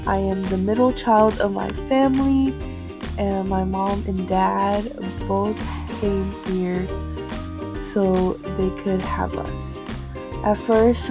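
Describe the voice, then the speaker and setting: Slow, even-toned teenage girl narrating. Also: mid-range voice. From United States, moved to Houston from Vietnam in early childhood. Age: teens. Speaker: student documentary narrator. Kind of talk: personal documentary narration. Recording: music behind the voice.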